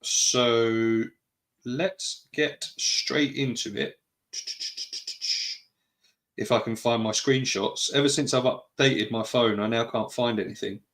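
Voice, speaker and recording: A man's voice: mid-range voice, slow, a bit bright. A man with a British accent hosting a live podcast-style stream, chatting casually, greeting viewers and wandering off on asides. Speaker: podcast host.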